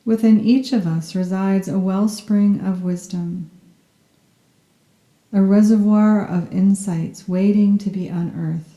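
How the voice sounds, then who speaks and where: A soft-spoken woman guiding a yoga nidra meditation in a gentle, soothing and unhurried manner. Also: mid-range voice, steady tone, echoey room, low-quality recording. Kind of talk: guided meditation, scripted narration.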